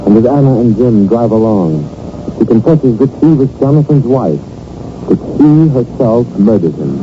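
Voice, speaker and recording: A man's voice: medium pitch, animated, normal speed. A man narrating a 1940s radio drama in a grave, dramatic storytelling style, on an old broadcast recording. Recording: noisy, muffled vintage 1940s radio recording.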